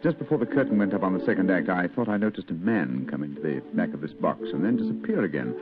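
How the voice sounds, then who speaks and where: A composed gentleman detective in a vintage radio play. His delivery is courteous and precise, moving quickly with animated swings in pitch. The sound is thin and tinny, with music playing behind him. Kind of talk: old-time radio drama acting.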